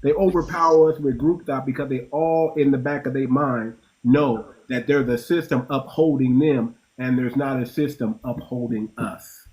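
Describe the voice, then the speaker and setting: A man's voice: mid-range voice, steady tone, moderate pace, hardly any pauses, warm tone, rough recording. A man hosting a casual panel discussion, talking emphatically and repeating words as he builds his point. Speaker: host.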